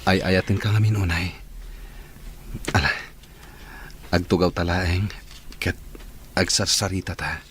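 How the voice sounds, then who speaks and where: Deep-voiced, earnest Filipino suitor. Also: male voice, fairly even intonation, measured pace, pauses often, fairly bright tone, a little hiss or hum. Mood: apologetic, earnest. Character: earnest, devoted young male suitor, gentle and apologetic. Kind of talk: Filipino radio drama acting.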